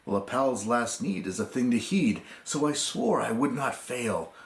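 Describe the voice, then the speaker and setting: A man with a medium-pitched voice gives a dramatic recitation of narrative verse. He phrases it theatrically and deliberately, pausing for effect. The room echoes clearly around him. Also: lively intonation. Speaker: poem reader.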